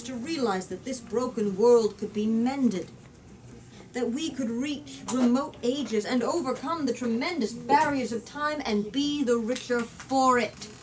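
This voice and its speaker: A woman performs an earnest male statesman from a storybook, solemn and measured in formal appeal. Phrases are deliberate, heard from a slight distance with some background noise. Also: a little high.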